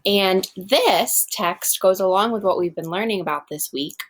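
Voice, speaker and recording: A woman's voice: mid-range voice, normal speed, slight background noise. A woman teaching first graders in a read-aloud lesson, explaining step by step and speaking directly to her pupils.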